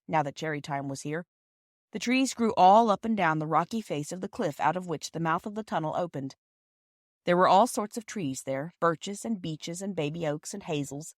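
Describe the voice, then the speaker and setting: A woman's voice: on the low side, no room sound, studio quality. A woman reading a children's story aloud as scripted narration. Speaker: audiobook reader and podcast host.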